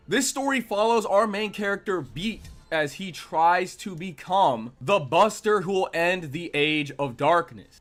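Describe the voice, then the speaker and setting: A man's voice: sits high, a bit bright. A man reading scripted review narration as a video reviewer, with an earnest, recommending delivery. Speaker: manga review YouTuber.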